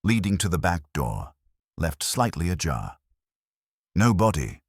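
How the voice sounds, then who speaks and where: A man reading a scripted detective mystery in a measured, suspenseful storytelling manner. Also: low-pitched, big pitch swings, slightly rough, no room sound, clean studio sound. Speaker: audiobook narrator. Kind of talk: scripted dramatic mystery narration.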